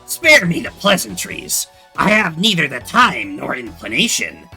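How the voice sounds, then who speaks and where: A man's voice: a little high, sing-song, a little slow, gravelly, bright, forceful tone, breathy edge, faint music behind, heavily acted. A man voicing a pompous, impatient gamer nerd who trades in stilted, formal phrases with a harsh, nerdy voice. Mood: haughty, impatient. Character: pompous, haughty, socially awkward male gamer nerd trader.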